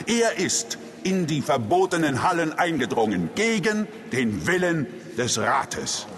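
An actor playing an indignant, accusing council elder in a dark fantasy audio drama, speaking in pompous, deliberate oratory. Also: male voice, fairly high pitch, very animated, heavily acted. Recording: reverberant hall, faint music.

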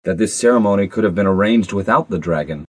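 A woman's voice: rumbling low, fast, a little mellow, breathy edge, dry recording, clean studio sound, voice pushed down. A woman voicing a polite, practical young prince in a storybook, talking matter-of-factly.

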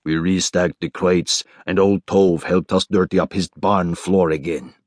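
Man doing a low, gruff, matter-of-fact workman. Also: fairly even intonation, a little slow, darkish tone, no room sound, studio quality.

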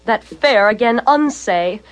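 A woman's voice: on the high side, animated, slow, rough recording. An actress playing a lovesick, envious young Athenian woman in Shakespearean audio theater, speaking verse plaintively and emphatically. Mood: envious, pleading. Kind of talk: full-cast Shakespearean audio theater acting.

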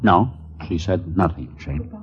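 A stocky business manager with a very deep voice and curt, clipped replies. It comes through a dull, noisy old radio recording. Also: male voice, a little slow. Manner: terse, clipped. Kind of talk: 1940s radio acting.

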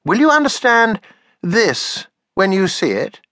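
A chirpy little cave girl, as a man puts her on, bubbling over with excitement in a storybook. Her high voice leaps all over the place, bright and eager. The sound is close and dry. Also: measured pace, no echo, heavily acted. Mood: excited. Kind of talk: storybook reading.

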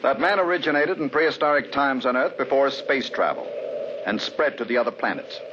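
An actor playing a polite, earnest archaeologist who also narrates, in 1950s radio acting with a dull recording and hiss. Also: male voice, mid-range voice, expressive, a little fast, natural voice. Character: earnest, tolerant male archaeologist. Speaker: narrator of the story.

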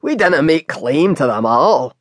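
A reader voicing an older male Scottish taxi driver who talks slowly in a kindly, chatty way. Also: fairly high pitch, very animated, no echo, clean studio sound. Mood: friendly. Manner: slow, warm, folksy.

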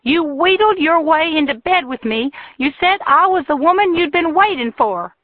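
A narrator voicing a furious, jilted young Southern woman who screams her accusations in a high, shrill voice. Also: expressive, normal speed, dry recording, phone-quality sound. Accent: American Southern.

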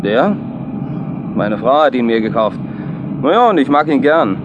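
Chatty taxi driver over car noise. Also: male voice, mid-range voice, sing-song, a little slow, highs cut off. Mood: chatty, content. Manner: breezy, colloquial. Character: chatty, cheerful, superstitious male taxi driver. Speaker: actor in an audio drama. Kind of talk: radio play dialogue. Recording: noisy, with car and traffic sounds. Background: car engine and street ambience.

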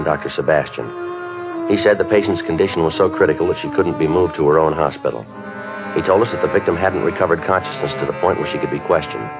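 An actor playing a stoic, businesslike police detective in a clipped, deadpan 1950s radio drama style. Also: male voice, very deep voice. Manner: deadpan, clipped, matter-of-fact. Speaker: lead actor and narrator. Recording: dull 1950s radio recording with music.

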